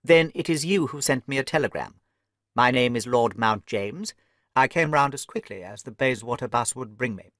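A man voicing a miserly, irritable little old lord with a sharp, crackling voice and a blustering manner. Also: animated, normal speed, dry recording, studio quality. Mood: suspicious. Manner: blustering, shrill when angry.

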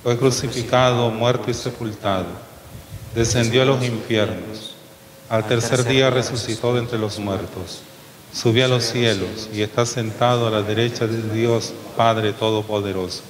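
Solemn bishop in a reverberant chapel. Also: male voice, mid-range voice, steady tone, crowd noise. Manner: measured, solemn delivery. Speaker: bishop presiding at Mass. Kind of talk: homily and liturgical prayers. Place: chapel with altar, indoors. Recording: long chapel reverb, faint noise.

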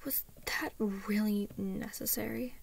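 Woman reader as the worried sensible best friend, young, hushed n questioning, audio story. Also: mid-range voice, fairly even intonation, slow, gravelly, husky, heavily acted. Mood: horrified. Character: anxious but sensible young female friend.

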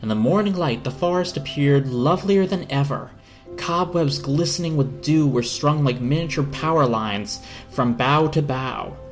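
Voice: mid-range voice, normal speed, faint music behind. A narrator giving a dramatic reading of a storybook. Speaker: narrator, reader.

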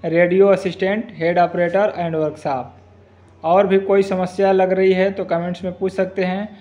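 A man's voice: high voice, little pitch movement, normal speed, dark tone, lo-fi sound. A young man giving an informal explanation to his viewers, recorded on a phone at arm's length indoors. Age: young adult. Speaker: exam-update video host. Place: indoor room at home.

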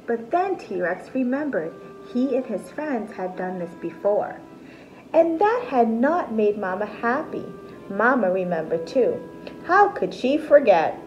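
A woman with a high voice reads a children's story aloud like a teacher. Her delivery swings widely in pitch, deliberate and expressive. Faint music plays behind her. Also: highs cut off. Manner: animated, deliberate, explains terms simply. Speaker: teacher reading a picture book aloud. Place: small decorated room at home, indoors.

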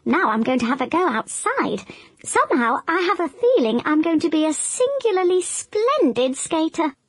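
A woman's voice: very high-pitched, sing-song, normal speed, no room sound, voice pushed up. Woman doing a cocky young crocodile. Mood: boastful. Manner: cocky, then anxious and stammering. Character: boastful clumsy young male crocodile.

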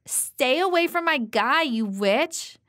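A woman's voice: sits high, animated, slow pace, fairly bright tone, voice pushed up, full character voice. A woman doing a spiteful jealous teen girl. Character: jealous teenage girl, mean rival.

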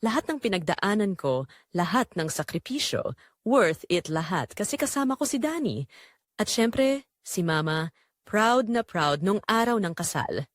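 A woman playing a betrayed young wife in an audio drama, narrating her heartbreak in earnest, measured, confessional phrases. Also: medium pitch, sing-song, no room sound, studio quality. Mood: wounded, reflective. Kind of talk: scripted first-person dramatic narration.

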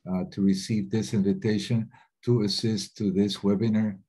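Low husky male interpreter. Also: level tone, moderate pace, mellow. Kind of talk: simultaneous interpretation of webinar talks. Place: remote webinar.

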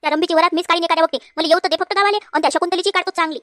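A woman's voice: very high voice, fairly even intonation, very fast, a bit bright, dry recording, clean studio sound. A woman voicing a bossy married daughter in a comedy cartoon, scolding in rural Varhadi Marathi from Vidarbha. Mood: indignant. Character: bossy married daughter, fussing over her parents, quick to scold.

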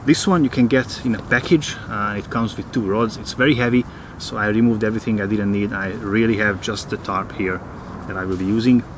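A man in his thirties from Hungary, casually talking to a close-held camera outdoors in the woods, often pausing with uh. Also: on the low side, steady tone, noisy background. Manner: hesitant, many filler uhs. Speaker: vlogger.

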